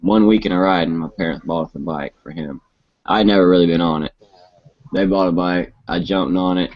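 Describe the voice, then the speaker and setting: Deep-voiced man in his twenties, rambling. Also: fairly even intonation, a little fast, no room sound. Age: 20s. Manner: rambling, laid-back storyteller with frequent restarts. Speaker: host. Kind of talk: casual chat. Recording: muffled lo-fi live stream audio.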